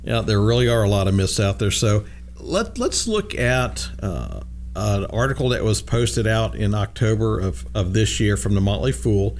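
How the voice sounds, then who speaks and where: A man's voice: on the low side, expressive, normal speed, slight background noise. A man hosting a financial radio show from a studio, chatting casually with many fillers and restarts. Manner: easygoing, frequent fillers and restarts.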